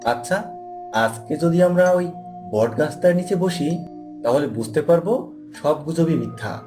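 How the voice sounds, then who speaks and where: Brash young male villager, cartoon man putting it on; higher-pitched, teasing, colloquial, over clear music. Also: lively intonation, measured pace. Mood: confident. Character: bold, dismissive young village man teasing timid friends.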